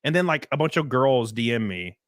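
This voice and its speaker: A man's voice: medium pitch, a little fast, dry recording. Southern guy hosting a stream, voice jumps all over, dry room. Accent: Southern American. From southern United States. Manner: animated, laughing, reads viewer chats aloud. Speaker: podcast host. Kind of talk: casual livestream chat.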